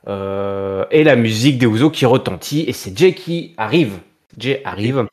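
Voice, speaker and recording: A man's voice: medium pitch, expressive, normal speed, darkish tone. Jokey man recapping wrestling casually. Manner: jokey, mocking, repeats phrases for effect. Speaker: podcast host recapping wrestling shows.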